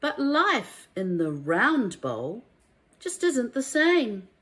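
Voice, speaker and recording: A woman reads a children's storybook aloud like a primary teacher, in a deliberate, emphatic and expressive way. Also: fairly high pitch. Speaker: primary school teacher reading a story to young pupils.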